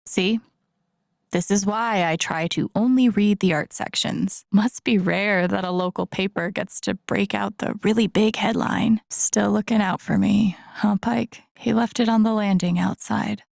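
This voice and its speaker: A woman playing a wry, wounded, introspective young game heroine, speaking soft-spoken inner monologue in a naturalistic, breathy, slightly creaky voice. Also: medium pitch, steady tone, unhurried, dry recording, studio quality. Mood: quiet, hurt.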